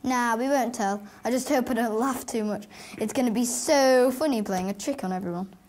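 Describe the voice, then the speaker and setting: A woman's voice: a little high, moderate pace, a little mellow, lightly acted. A woman playing a grumpy, joking schoolboy, a brash brother, in a radio play. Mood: excited.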